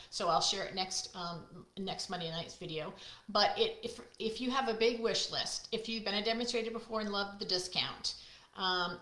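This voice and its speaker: Chatty woman in an echoey room. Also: mid-range voice. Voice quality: loud, projecting voice. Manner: chatty, friendly, talks to viewers by name. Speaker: card-making host. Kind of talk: casual live-stream chat.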